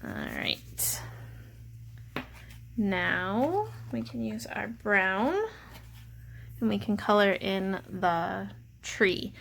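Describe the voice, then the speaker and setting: Female art teacher for kids, mid-range voice with noticeable vocal fry, explaining each step simply, slight noise behind. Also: mid-range voice, extremely slow, long pauses, fairly bright tone. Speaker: teacher leading a drawing lesson. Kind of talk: step-by-step instructional narration for children.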